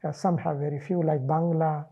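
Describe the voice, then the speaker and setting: Indian English man, middle-aged, very dark mellow voice, husky, thoughtful pauses, slightly dull recording. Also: fairly high pitch, steady tone. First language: Marathi. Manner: measured, thoughtful, frequent "uh" pauses. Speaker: interviewee, linguist. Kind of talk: relaxed reflective interview.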